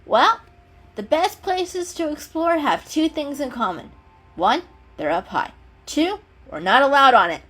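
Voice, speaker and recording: A woman's voice: high voice, very animated, measured pace, fairly bright tone, soft background music, voice pushed up, heavily acted. A woman voicing a teasing, mischievous gray male cat in a storybook, with a casual, cheeky manner. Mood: conspiratorial.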